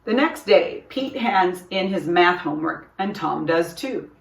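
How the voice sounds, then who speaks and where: A woman hosting a storytime, reading a children's picture book aloud in an expressive, deliberate way. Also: on the low side, gravelly, background noise. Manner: expressive, deliberate, puts on character dialogue. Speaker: storytime host, reader. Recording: roomy echo, distant microphone.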